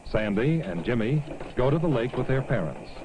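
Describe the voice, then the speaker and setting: A man's voice: mid-range voice, very animated, normal speed, a little hiss or hum, low-quality recording. A man reading scripted narration in the style of an educational film.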